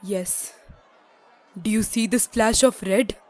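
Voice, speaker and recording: A woman's voice: medium pitch, fairly even intonation, slow, darkish tone, a faint crowd, lightly acted. A woman playing a loyal, indignant rebel worker in a theatrical audio drama. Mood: mournful. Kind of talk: theatrical stage-play acting in an audio drama.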